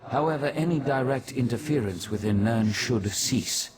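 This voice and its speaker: A man playing an ancient, wise and weary demigod in a fantasy game, speaking in a grave, formal, measured way. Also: medium pitch, a crowd in the background, lightly acted. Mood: grave, reflective. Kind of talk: scripted fantasy game dialogue.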